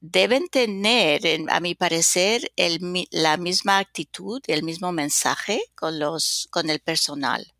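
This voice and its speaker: Slow, gravelly older woman. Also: on the low side, pushed and bright, no echo, studio quality. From North Africa, then Spain. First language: French. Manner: slow, thoughtful, with many hesitations. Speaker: guest author and consultant. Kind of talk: podcast interview.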